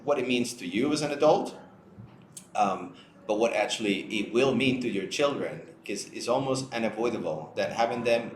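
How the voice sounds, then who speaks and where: A man's voice: mid-range voice, expressive, moderate pace. A man co-hosting a casual conversational discussion, talking in an earnest, explanatory way. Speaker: show co-host. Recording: roomy echo, light background noise.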